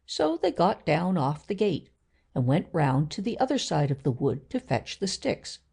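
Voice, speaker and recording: A woman's voice: on the low side, big pitch swings, normal speed, creaky, mellow, breathy edge. A woman narrating a children's story from a script as a storyteller.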